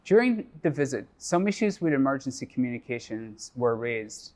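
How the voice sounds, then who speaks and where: A man presenting a project update in a measured, scripted way, reading prepared remarks. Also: medium pitch, sing-song, darkish tone.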